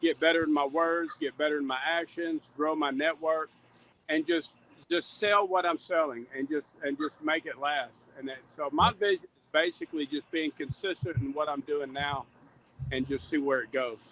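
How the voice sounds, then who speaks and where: A man's voice: a little high, steady tone, normal speed, fairly bright tone, slight background noise, low-quality recording. A middle-aged man giving candid, conversational answers as a podcast guest, earnest and repeating phrases for emphasis. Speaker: podcast guest, reentry advocate.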